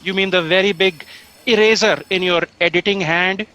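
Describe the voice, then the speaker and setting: An actor playing a nervous but cheeky office underling in a sci-fi satire, hesitant and stammering. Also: male voice, sits high, steady tone, moderate pace, sharp tone, rough recording. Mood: nervous, snide. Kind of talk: sci-fi satire audio drama.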